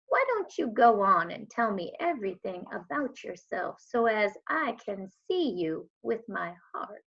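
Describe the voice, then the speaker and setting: A woman voicing a kindly old lady from a children's storybook, folksy and unhurried. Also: mid-range voice, sing-song, creaky, a bit bright, slightly rough, exaggerated voice. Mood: gentle, reassuring. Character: kindly old Southern woman with poor eyesight, warm and folksy. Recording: dull lo-fi home recording.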